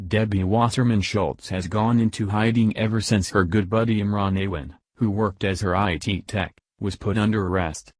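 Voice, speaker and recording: Low-voiced man narrating political news. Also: steady tone, normal speed, few pauses, dry recording.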